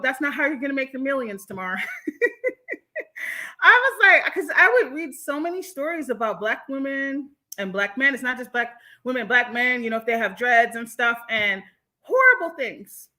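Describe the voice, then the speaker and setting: Bright-voiced American woman, high and candid. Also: moderate pace. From born in Jamaica, moved from the United States. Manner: animated, candid, slangy. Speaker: livestream host. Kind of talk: casual live chat, reading and answering viewer comments.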